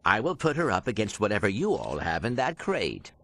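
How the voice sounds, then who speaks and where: Polite, animated little robot agent. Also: male voice, lowish voice, fairly quick, exaggerated voice. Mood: folksy bluffing. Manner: formal, precise diction without contractions. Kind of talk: video game cutscene acting.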